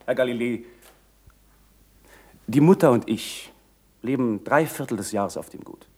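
Husky, haughty young nobleman. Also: mid-range voice, warm tone. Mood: condescending, indignant. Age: young adult. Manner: formal, measured, lecturing. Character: haughty young aristocratic landowner, pious, condescending. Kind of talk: German radio play acting.

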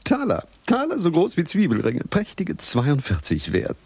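A husky, animated coin hoarder. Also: male voice, a little high, normal speed, no room sound, heavily acted. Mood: eager. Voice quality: husky, gravelly. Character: man eager to melt down big coins.

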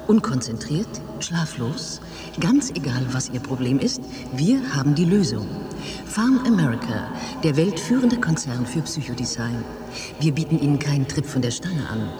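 A voice actor playing a slick, persuasive pitchman in an ad inside a radio play. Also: close to an everyday voice. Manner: persuasive, salesy. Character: smooth pushy pitchman for a drug firm. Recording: spot with music behind.

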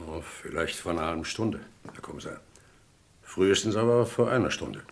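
A man's voice: sits low, expressive, normal speed, creaky. A man playing a respectful, matter-of-fact police doctor in an old German radio crime drama.

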